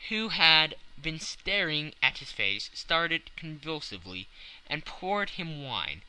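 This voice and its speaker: A man's voice: on the high side, sharp tone, rough recording. A man narrating a classic novel as an audiobook in a dramatic, scripted reading style.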